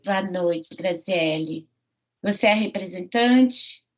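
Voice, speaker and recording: A woman's voice: lowish voice, slow pace. A middle-aged woman with a Minas Gerais accent, a university professor giving an online lecture in a friendly way, full of fillers and tag questions. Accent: Minas Gerais Portuguese. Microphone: computer mic on a video call. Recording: dull lo-fi video call audio.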